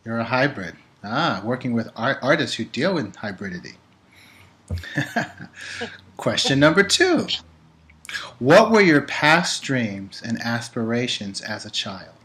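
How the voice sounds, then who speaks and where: Brief: male host, mid-range voice, lively reactions to guest. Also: mid-range voice, slow pace, long pauses. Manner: enthusiastic, expressive reactions. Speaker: interview host. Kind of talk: interview hosting.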